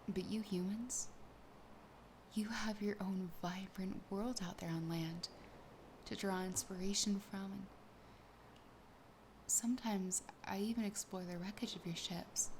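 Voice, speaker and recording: A woman voicing a wistful, sassy siren in an ASMR roleplay, softly and breathily, often half-whispering at a slow, intimate pace. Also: medium pitch, slight background noise. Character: sassy, possessive, wistful young female siren.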